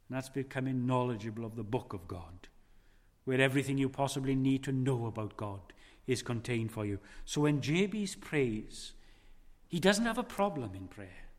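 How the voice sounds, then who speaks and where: A male preacher speaks in a mid-range voice, deliberate and solemn. His phrases often sink into near-whispers for emphasis. The sound rings with the long echo of a church hall. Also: mid-range voice. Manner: slow, weighty preaching with dramatic drops in volume. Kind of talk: sermon.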